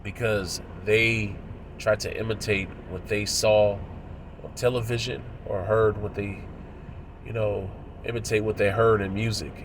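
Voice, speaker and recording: A man in his thirties with a cold, sounding nasal and congested, speaking in a measured, moralizing way as he comments on a video. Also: on the low side, flat delivery, background noise. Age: 30s. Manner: measured, moralizing, pausing often. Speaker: religious commentator.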